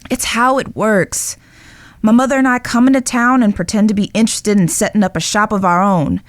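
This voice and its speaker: A woman playing a guilt-ridden, lovestruck young outlaw spy in an audio drama, speaking earnestly and emotionally with pauses. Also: mid-range voice, steady tone, no room sound, natural voice. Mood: confessional, wary.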